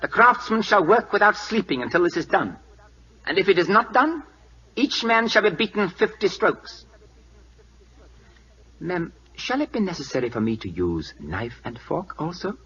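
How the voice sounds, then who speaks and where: A man's voice: high voice, very animated, normal speed, bright, forceful tone, breathy edge, heavily acted. An actor playing a proud, impatient king of Siam in a 1940s radio drama, with a put-on Siamese accent and clipped, formal broken English. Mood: brisk, demanding. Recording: dull lo-fi old radio recording.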